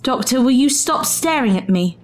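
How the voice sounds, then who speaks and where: A woman's voice: high-pitched, animated, moderate pace, a little hiss or hum. A woman playing a proud, distrustful time traveller in an audio drama, formal, pointed and challenging. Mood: disappointed.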